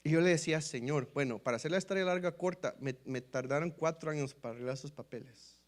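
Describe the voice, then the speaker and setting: A man's voice: on the high side, quick pace. A Mexican man with a Mexican Spanish accent, a pastor telling his testimony in a sermon, often calling his listeners brothers and acting out the dialogue. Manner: storytelling, repeatedly addressing listeners as brothers; lively, acts out quoted dialogue.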